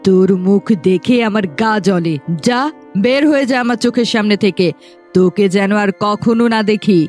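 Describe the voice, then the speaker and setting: Woman as a cruel scolding stepmother, mid-pitched. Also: moderate pace, a little mellow, soft background music, close to an everyday voice. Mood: angry scolding. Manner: scolding, commanding. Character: cruel, accusing middle-aged stepmother, harsh and unforgiving. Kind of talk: cartoon fairy-tale acting.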